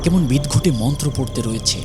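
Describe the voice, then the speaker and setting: A man's voice: fairly high pitch, expressive, fast talker, loud music behind the voice. A man giving dramatic scripted narration of a horror audio story.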